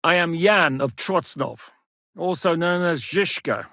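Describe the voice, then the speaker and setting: A man's voice: fairly high pitch, pushed and bright, no room sound, clean studio sound. A man voicing a shrewd, commanding medieval Czech mercenary lord in a video game, speaking with blunt authority. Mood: reflective, frank. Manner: authoritative, blunt, swings from measured to barking. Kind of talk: dramatic video game dialogue.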